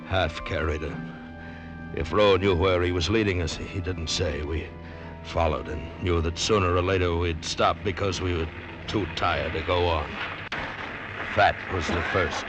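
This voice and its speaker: A man's voice: deep voice, fairly even intonation, unhurried. A man narrating and acting in an old radio drama, in a grim, weary first-person manner. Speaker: narrator and lead character. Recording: old radio recording with music beneath.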